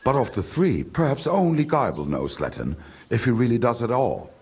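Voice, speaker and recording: An actor playing a grumbling, condescending village merchant in a video game, with a German accent and German phrases slipped in. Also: male voice, mid-range voice, sing-song, normal speed, a little mellow, breathy edge. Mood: gossipy. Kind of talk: video game character dialogue.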